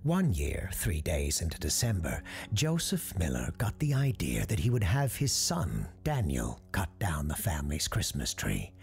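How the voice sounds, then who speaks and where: A man playing a genial parapsychologist radio host who tells Christmas ghost stories in a husky, creaky, measured, genteel voice. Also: sits low, huge pitch swings, a little mellow, full character voice. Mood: storytelling. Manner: genteel, measured storyteller's delivery. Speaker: host and storyteller of an audio fiction show. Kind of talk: scripted storytelling, radio-show monologue.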